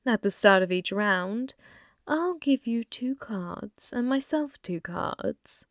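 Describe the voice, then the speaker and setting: Slow, flirty woman as a possessive demoness. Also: fairly high pitch, animated, no room sound, clean studio sound. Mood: playful, sly. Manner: teasing, seductive, unhurried, drops into whispers. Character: flirty, possessive, taunting female demon bartender. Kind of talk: ASMR roleplay, intimate and slow.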